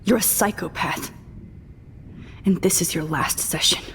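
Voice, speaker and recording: An actress playing a frightened but defiant teenage student held captive in a video game, with a strained delivery. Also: female voice, on the low side, measured pace, vocal fry, fairly bright tone, a little hiss or hum. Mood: bitter defiance. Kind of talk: dramatic game cutscene acting.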